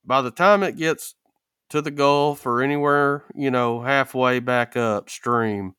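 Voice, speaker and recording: A man's voice: medium pitch, measured pace, sharp tone, clear, resonant tone, no room sound, clean studio sound. A man co-hosting a casual chat in colloquial, folksy language. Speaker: podcast co-host.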